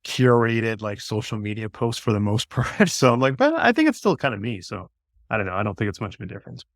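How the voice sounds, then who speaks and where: A man with a lowish voice chats easily as a podcast guest, thinking his way through each point as he talks. He comes through a video call with a dry, close sound. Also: big pitch swings, quick pace, dry recording. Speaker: guest, wellness coach. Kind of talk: casual chat.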